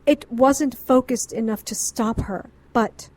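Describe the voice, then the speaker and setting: A woman's voice: a little high, slow, darkish tone. A woman acting a grieving, defiant occultist doctor in an audio drama, speaking with earnest, indignant intensity. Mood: defensive, anguished.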